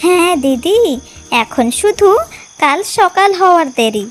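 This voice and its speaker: A woman playing a spiteful, sharp-tongued younger sister-in-law in a cartoon, catty and fawning. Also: very high-pitched, expressive, a little slow. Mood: gleeful. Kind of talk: exaggerated cartoon fairy-tale dialogue. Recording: music behind the voice.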